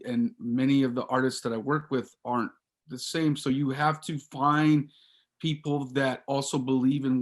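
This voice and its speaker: A man who runs a music company, answering a panel question in a halting way with many restarts. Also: mid-range voice.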